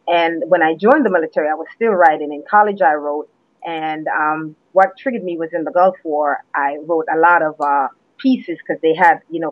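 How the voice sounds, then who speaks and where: A woman from Jamaica, a guest poet, chatting in an interview and telling her story in a relaxed way with restarts, heard over a phone line. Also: fairly low pitch, dry recording. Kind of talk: casual interview chat. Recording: tinny phone line, dry.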